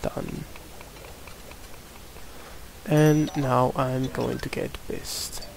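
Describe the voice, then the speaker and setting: A man's voice: mid-range voice, fairly even intonation, drawn-out words, gravelly, background noise. Portuguese man mumbling over gameplay. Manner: mumbling, talks to himself while playing. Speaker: gameplay commentator. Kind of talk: casual gameplay commentary.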